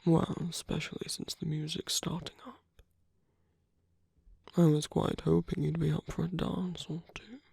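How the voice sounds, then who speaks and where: A performer playing a suave, flirtatious villain at a royal masquerade in an ASMR audio roleplay, speaking softly and breathily with teasing, lingering pauses, close to the microphone. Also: medium pitch, flat delivery, no room sound, heavily acted. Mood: sly, coaxing. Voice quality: breathy, soft, often near whisper. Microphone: close dry microphone.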